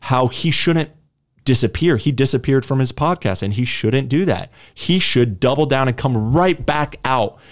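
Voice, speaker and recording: Guy co-hosting a podcast, mid pitch, fired up and rambly, keeps restarting his sentences. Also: lively intonation, normal speed. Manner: emphatic, rambling, defiant, with frequent restarts. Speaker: podcast co-host. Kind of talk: casual podcast chat.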